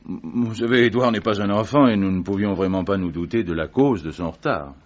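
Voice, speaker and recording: An actor playing the patient's young brother, explaining events quickly in a husky voice. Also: male voice, lowish voice, close to an everyday voice. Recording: dull vintage radio recording.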